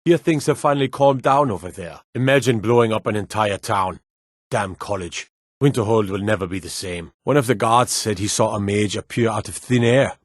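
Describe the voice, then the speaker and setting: A man's voice: fairly low pitch, moderate pace, no echo, studio quality, natural voice. An actor playing a wary, plainspoken male city guard in a fantasy video game, with a stern, folksy way of talking. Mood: disapproving. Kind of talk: scripted fantasy game dialogue.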